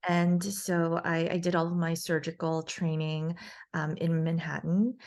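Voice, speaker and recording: Woman guest from new york, a bit low pitched, explains stuff carefully in an interview, pauses a lot. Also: level tone, darkish tone. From born in South Korea, grew up in New York City. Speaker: guest, facial plastic surgeon. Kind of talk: conversational interview answers.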